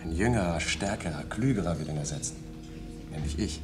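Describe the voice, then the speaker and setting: A man's voice: very deep voice, expressive, brisk, music in the background. An actor playing a smug young male slave in a science fiction radio play, speaking in an emphatic, self-important way. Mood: smug, boastful. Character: boastful young male slave, smug about his superior generation.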